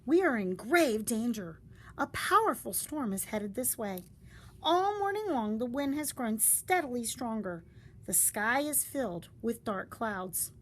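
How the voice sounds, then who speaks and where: Female storybook reader, slightly high voice, very varied intonation, dramatic and deliberate delivery. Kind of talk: expressive read-aloud of a story. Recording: faint background noise.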